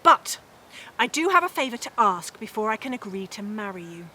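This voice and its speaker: A woman's voice: mid-range voice, sing-song, brisk, pushed and bright, slight background noise, pitched below the performer's own voice, rough recording. A woman storyteller playing a cunning medieval prioress who coaxes with polite mock sympathy. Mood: coaxing, sly. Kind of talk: storybook character in oral storytelling.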